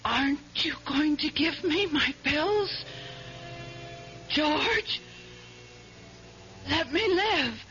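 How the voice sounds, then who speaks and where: An actress playing a gravely ill wife who pleads slowly and tearfully in an old-time radio mystery. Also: female voice, high voice, pushed and bright, exaggerated voice. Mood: weak, pleading. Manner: slow, drawn-out, tearful pleading. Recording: dull old radio recording, music behind.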